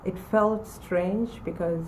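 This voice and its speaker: A woman in her forties from Uganda, telling her own story in a measured way with pauses. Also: mid-range voice, fairly even intonation, a little mellow, slight background noise, rough recording. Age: 40s. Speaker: former college student. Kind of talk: personal testimonial to camera.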